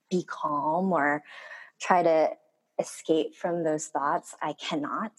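A woman whose first language is Korean, a skincare maker speaking as a guest in an interview, soft-spoken and hesitant, with many pauses. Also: deep voice, low-quality recording. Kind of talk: reflective interview talk.

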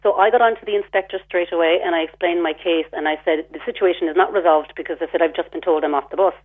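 Irish mum ringing in to radio, upset, rattles through the details quick, phone sound. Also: female voice, on the low side. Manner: aggrieved, detailed, retells her exchanges. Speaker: caller. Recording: phone line.

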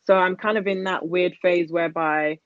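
A woman's voice: fairly low pitch, fairly even intonation, moderate pace, dry recording. A woman in her thirties speaks as a podcast guest in a candid, rambling way, full of small fillers and restarts. Her voice is recorded close and dry, with a slightly muffled, dull tone. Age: 30s. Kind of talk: casual chat.

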